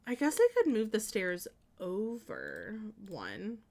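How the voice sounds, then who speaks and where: A woman streaming live, musing out loud as she works something out, often trailing off and starting her sentences over. Between those hesitant stretches she turns friendly and chatty with her viewers. Her pitch sits in an ordinary middle range for a woman. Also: huge pitch swings, slow pace, vocal fry. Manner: thinking aloud, hesitant and meandering, with frequent trailing restarts; chatty and friendly when greeting and answering viewers. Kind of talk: casual live-stream chat while building in a life-sim game.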